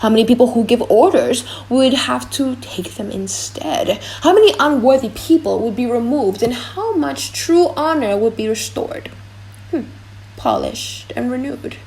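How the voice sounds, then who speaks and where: Woman playing a vain, pompous, high-voiced prince. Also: very animated, unhurried, darkish tone, rough recording. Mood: self-assured. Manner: pompous, theatrical, musing aloud. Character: vain, pompous foreign prince weighing his choice aloud. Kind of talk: dramatic audiobook acting.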